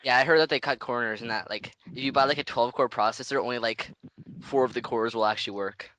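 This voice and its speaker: A man's voice: medium pitch, fast talker, bright, forceful tone, no room sound. A man making a casual prank phone call, rambling in slang and persistently asking naive questions. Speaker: prank caller.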